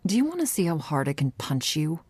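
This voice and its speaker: A woman plays a thrill-hungry female vampire in an audio roleplay. She is blunt and dismissive, with a low-leaning, husky voice and a sardonic, bored delivery. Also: very animated, fairly quick, a little mellow, no room sound, full character voice.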